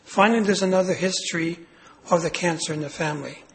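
Guy lecturing with a cold, hoarse and raspy, lots of ums, kinda high voice. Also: fast. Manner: hesitant, many fillers and restarts. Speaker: clinical psychologist giving a lecture. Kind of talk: medical lecture.

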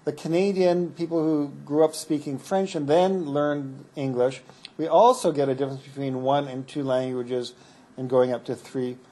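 A man's voice: fairly high pitch, steady tone, normal speed, warm tone, poor audio. A man presenting medical research findings at a conference and answering audience questions, explaining with frequent restarts and fillers. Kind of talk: academic conference talk with Q&A.

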